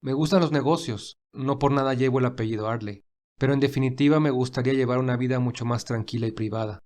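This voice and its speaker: A caring gentleman in love acted by a man speaks politely and with measured sincerity. His voice sits at an average male pitch, suited to the gentle hero of an audio romance. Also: lightly acted. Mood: wistful, sincere. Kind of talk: dramatized romantic fan fiction.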